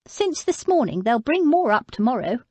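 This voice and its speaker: A woman voicing a frail, proud, sharp-tongued elderly aristocratic lady with a haughty, querulous manner. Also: high-pitched, sing-song, normal speed, breathy edge, dry recording, voice pushed up, heavily acted. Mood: scornful.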